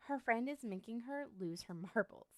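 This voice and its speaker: A woman's voice: a little high, expressive, normal speed, no echo, clean studio sound, natural voice. A woman playing a lovestruck, obsessive young woman in an ASMR roleplay, talking to the listener in a coy, rambling, hesitant way. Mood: lovesick, exasperated.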